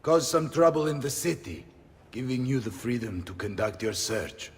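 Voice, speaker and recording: Guy doing confident rogue ally, medium pitch, game cutscene, lots of reverb. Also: expressive, moderate pace, lightly acted. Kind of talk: video game cutscene acting. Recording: long hall reverb.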